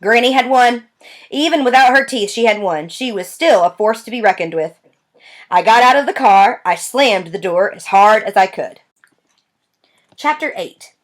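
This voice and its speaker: A woman reading a children's novel aloud with expressive storytelling. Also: on the high side, moderate pace. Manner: expressive storytelling with lively voice changes. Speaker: reader.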